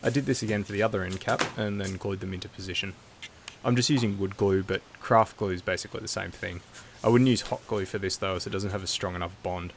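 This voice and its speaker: DIY voice-over: male, deep gravelly tone, measured instructional read, faint noise behind. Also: fairly even intonation. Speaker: DIY video narrator. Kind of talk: scripted how-to voice-over.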